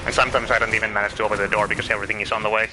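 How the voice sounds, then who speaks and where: A man with a Finnish accent giving casual, chatty commentary while streaming a game, thinking out loud and asking his viewers questions. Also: on the low side, level tone, rapid-fire, noisy background. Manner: chatty, thinks aloud, asks viewers questions, self-correcting. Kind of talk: casual live gameplay commentary.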